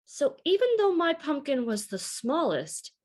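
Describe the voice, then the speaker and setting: Small schoolboy acted by a woman reader, storybook, thoughtful, earnest, unhurried, expressive pitch. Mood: earnest realization.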